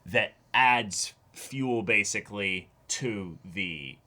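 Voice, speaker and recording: A young man in casual discussion as co-host of a reaction show, often repeating words and acting out moments as he talks. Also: lowish voice. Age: young adult. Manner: animated, halting and repetitive, acting out moments. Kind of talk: casual chat, episode discussion. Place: small indoor room, seated before a backdrop.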